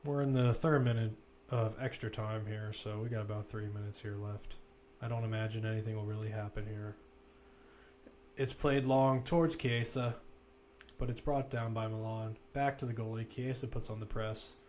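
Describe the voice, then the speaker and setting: A man's voice: on the low side, steady tone, moderate pace, darkish tone, slight background noise. A man giving casual, conversational live play-by-play commentary on a football match, with wry asides.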